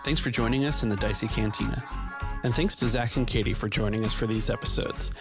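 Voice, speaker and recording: A man's voice: on the low side, fast. A man hosting a podcast, reading a scripted outro with thanks and reminders to listeners. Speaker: podcast host. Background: music.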